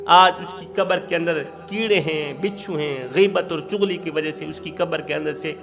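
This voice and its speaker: Man preacher, high-pitched, fervent sermon, emphatic repetition, faint music underneath. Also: creaky. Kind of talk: impassioned Urdu sermon with storytelling.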